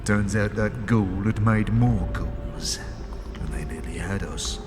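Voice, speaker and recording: A man plays a crude grave-robber spirit who rambles in a rough street dialect and cackles at his own bad luck. He drawls slowly in a low voice. The sound rings with a long hall echo, and loud music plays underneath. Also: steady tone. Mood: rambling. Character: grave-robbing male ghost, chatty and crude. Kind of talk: fan audio drama acting.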